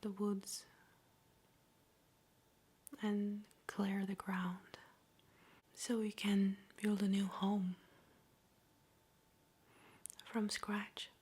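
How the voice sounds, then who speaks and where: A woman speaks in a hushed, very breathy whisper, as in a guided ASMR meditation. Her pace is slow, with long pauses, and her pitch stays flat and even. The delivery is gentle and soothing.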